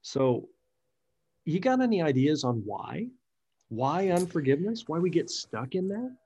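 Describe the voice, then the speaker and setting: A man hosts a podcast and talks as a pastor. He thinks aloud, restarting his sentences, in a mellow, darker voice. The recording sounds dull and slightly muffled. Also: a little high, big pitch swings, a little slow, husky. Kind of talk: conversational podcast interview.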